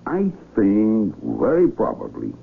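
An actor playing a solemn, pompous village doctor who speaks with clinical deliberation in a radio drama. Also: male voice, lowish voice, wildly swooping pitch, takes it slowly, gravelly, husky, heavily acted. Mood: solemn, certain. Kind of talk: old-time radio drama acting. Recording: noisy, thin phone-like vintage radio recording.

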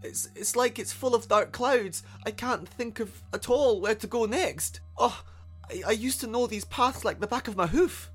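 A man's voice: very high voice, normal speed, a bit bright, faint music behind, pitched above the performer's own voice. A man voicing an earnest, chatty, easily upset young unicorn in a story, speaking with feeling and some hesitation. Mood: tentative, upset. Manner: stammering, hesitant, emotional.

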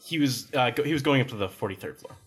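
A young man narrating as game master in a tabletop role-playing game, casual and quick to laugh and swear. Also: medium pitch, lively intonation, husky.

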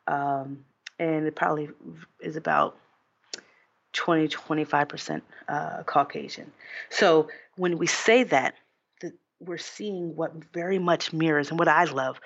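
A woman's voice: sits low, fairly bright tone, no echo, highs cut off. An American woman being interviewed as a political candidate, speaking conversationally in an earnest, emphatic, explanatory way.

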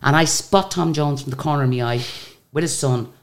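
An Irish woman with a very deep voice, a singer chatting as a guest and telling stories in a lively, animated way. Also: brisk. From Ireland. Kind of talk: casual interview storytelling.

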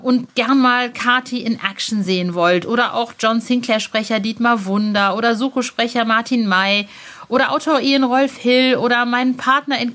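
A woman's voice: a little high, a bit bright. A woman hosting a podcast in a casual, chatty and playful way. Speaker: podcast host.

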